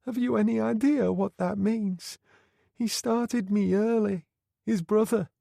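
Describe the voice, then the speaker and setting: A man's voice: sits high, fairly even intonation, unhurried, creaky, dark tone, dry recording, clean studio sound, voice pushed up, full character voice. A man voicing a frightened young female prostitute who pleads and sobs. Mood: distraught. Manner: pleading, sobbing.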